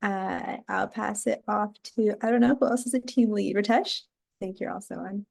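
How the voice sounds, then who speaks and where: A woman introducing herself casually as a team lead on a video call, relaxed and a little unsure. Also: medium pitch, normal speed, creaky, slightly rough, no echo.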